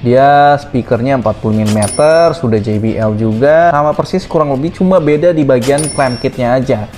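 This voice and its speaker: A man with an Indonesian accent presenting products in a casual, informal sales pitch, addressing viewers like friends. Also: normal speed, darkish tone, soft background music. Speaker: helmet shop presenter.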